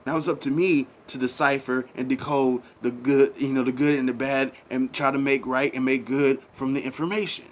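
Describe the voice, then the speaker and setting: A man's voice: medium pitch, level tone, moderate pace, hardly any pauses. Preachy man delivering a rambling monologue. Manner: preachy, repeats himself, pleading with listeners; rhetorical questions, casual slang. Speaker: commentary channel host. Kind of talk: impassioned monologue.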